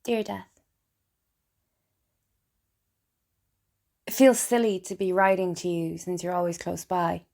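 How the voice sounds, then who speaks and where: A woman acting a melancholy, wryly funny and tipsy character in an intimate, halting theatrical monologue. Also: on the low side, creaky, a little mellow. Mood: reflective, hesitant. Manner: halting, intimate, dramatic pauses. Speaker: stage actor performing a solo monologue. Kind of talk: confessional theatrical monologue, spoken as a letter.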